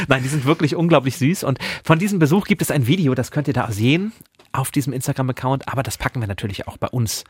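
A man's voice: medium pitch, quick pace, husky, no echo. A man speaking as a podcast co-host, casually explaining things in a playful, bookish way. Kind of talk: casual book quiz and banter. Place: podcast studio.

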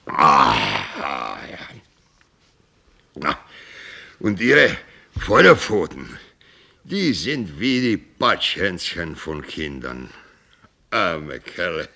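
An old sea captain in a radio drama with a very low, forceful voice. He is gruff and jovial, rambles, breaks off and drops English sailor words into his sentences. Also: huge pitch swings, drawn-out words, long pauses, full character voice. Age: older. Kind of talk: German radio play acting.

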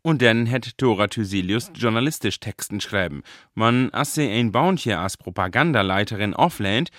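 A man with a northern Low German accent, narrating a scripted radio report. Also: animated, moderate pace, a bit bright, no room sound, studio quality.

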